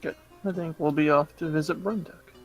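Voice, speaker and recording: A man with a gravelly, fairly high voice plays a tabletop roleplaying game. He talks casually and deliberately in a flat, understated tone, with music behind him.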